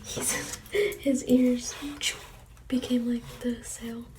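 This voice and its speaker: A woman reading children's storybooks in a soft, breathy whisper, gently commenting on the pictures between pages. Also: fairly high pitch, monotone, very slow, recorded from a distance, slight background noise. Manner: gentle, with quiet asides on the pictures. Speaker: storybook reader.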